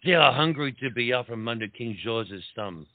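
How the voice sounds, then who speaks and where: An actor playing an urbane French marquis and officer, a loyal friend who coaxes and reassures, dropping French words into comic dialogue. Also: male voice, mid-range voice, lively intonation, normal speed, dry recording, phone-quality sound, close to an everyday voice. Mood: reassuring, urging. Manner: coaxing, sprinkles speech with French words. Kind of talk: theatrical comedy audio-play acting.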